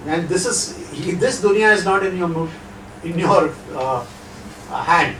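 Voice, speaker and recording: A man's voice: high voice, lively intonation, measured pace, a bit bright, a little hiss or hum. Indian man lecturing in an echoey hall. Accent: Indian English. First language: not Hindi. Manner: conversational, rhetorical asides. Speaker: guest lecturer. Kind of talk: lecture with audience Q&A. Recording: echoey hall, distant mic.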